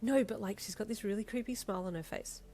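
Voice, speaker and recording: Narrator doing excitable young woman in a romance story, sarcastic banter, very expressive. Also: medium pitch, fast, soft background music, lightly acted. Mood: exasperated, animated.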